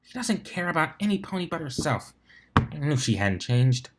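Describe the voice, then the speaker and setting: A man's voice: on the high side, big pitch swings, moderate pace, background noise, voice pushed up. A man voicing a bitter, hot-tempered young female pegasus in a storybook reading, with sulky muttering. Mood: bitter, vengeful.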